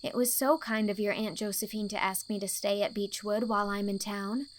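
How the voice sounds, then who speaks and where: A woman's voice: mid-range voice, steady tone, fairly quick, slight background noise, natural voice. A woman playing a dramatic, anxious, chatty teenage schoolgirl in a storybook reading, speaking earnestly and wordily.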